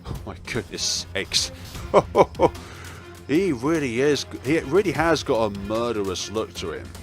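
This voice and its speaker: A man's voice: medium pitch, a little slow, soft background music. A man hosting a let's play, reading a visual novel aloud expressively with casual commentary of his own. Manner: expressive, acts out dialogue, chuckles and stumbles over words.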